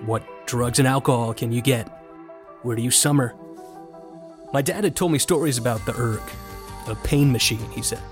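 A man's voice: medium pitch, close to an everyday voice. A man playing a privileged young college novice rower, narrating an audio drama in a reflective, confiding way. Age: young adult. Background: music.

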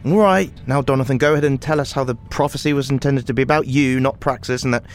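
A man's voice: fast talker, soft background music, close to an everyday voice. A man playing a cynical, stern rebel mentor in a fantasy audio drama, blunt and scornful. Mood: irritated. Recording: faint background rumble.

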